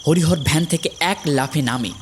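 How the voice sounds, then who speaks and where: A man's voice: fairly high pitch, normal speed, noisy background. A man narrating a scripted horror story in a dramatic storytelling style.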